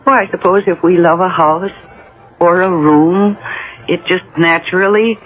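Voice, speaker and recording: An actress playing a gentle, dreamy young married woman with an American accent in a radio drama, polite and full of wonder. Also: on the low side, steady tone, measured pace, breathy edge. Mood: dreamy, wistful. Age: young adult. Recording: muffled phone-like old radio broadcast.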